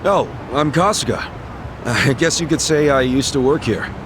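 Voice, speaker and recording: An actor playing a loyal, brash street tough with a warm, slangy way of talking, in an English game dub. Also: male voice, medium pitch, normal speed, background noise, natural voice. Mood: awkward, hesitant. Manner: informal, slangy, reassuring.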